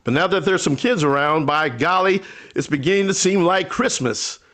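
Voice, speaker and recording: An actor playing a kindly older rural storekeeper in a radio play, folksy, halting, hospitable and a little wistful. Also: male voice, a little high, a bit bright, natural voice. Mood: jovial, welcoming. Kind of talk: old-time radio drama acting.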